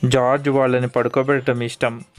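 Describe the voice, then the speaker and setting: A man's voice: mid-range voice, level tone, a little fast, faint music behind. A man narrating a children's cartoon story as its storyteller.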